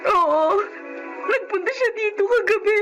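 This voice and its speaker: A woman's voice: extremely high pitch, measured pace, music in the background, low-quality recording. A woman playing an anguished young kidnap victim in a Filipino radio drama, tearful and pleading with a Filipino accent. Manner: tearful, melodramatic delivery.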